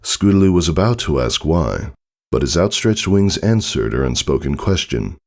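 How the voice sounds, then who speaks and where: A man with a low voice narrates a story from a script. There is fry in his tone, and his delivery moves expressively up and down. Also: big pitch swings, normal speed. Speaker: fan fiction reader, narrator.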